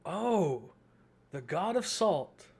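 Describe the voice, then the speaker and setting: A man's voice: high-pitched, sing-song, drawn-out words, breathy edge. A man hosting a gameplay video with casual, informal commentary, reacting in short asides. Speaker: gaming video host. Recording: soft game music behind.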